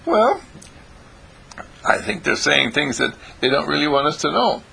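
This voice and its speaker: A man's voice: fairly high pitch, very animated, measured pace, creaky, pushed and bright, a little hiss or hum, full character voice. A man voicing an earnest, chatty four-year-old boy who speaks in repetitive, childlike phrases. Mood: confiding.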